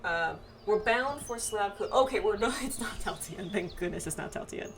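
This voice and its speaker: A woman's voice: medium pitch, lively intonation, normal speed, distant mic, faint music behind. A woman streaming a game playthrough with friends, reading on-screen text aloud and adding her own asides.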